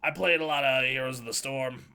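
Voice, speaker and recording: A man co-hosting a gaming podcast, with a nasal but bassy voice, talking loosely, joking and swearing freely. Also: steady tone, fairly quick, vocal fry, bright, forceful tone, clear, resonant tone. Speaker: podcast co-host. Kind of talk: casual gaming chat. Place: home streaming setup.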